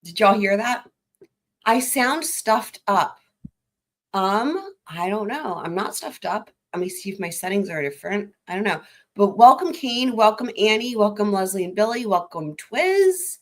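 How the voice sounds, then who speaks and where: A woman hosting a live stream, chatting excitedly and directly to her viewers about courtroom clips. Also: medium pitch, unhurried. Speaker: livestream host. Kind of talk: casual live commentary.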